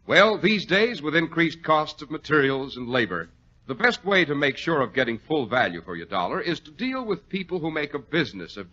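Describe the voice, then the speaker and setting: A dull old radio recording of an American man announcing in 1940s style. His delivery is forceful and very animated, with a slightly rough-edged tone and a polished, salesmanlike manner. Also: medium pitch.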